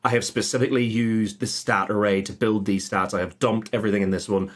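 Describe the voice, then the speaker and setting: A man's voice: lowish voice, steady tone, quick pace. A man chatting informally as a podcast guest, telling gaming anecdotes and jokily acting out mock quotes. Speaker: podcast guest, game master. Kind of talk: casual chat, animated anecdotes with mimicked quotes.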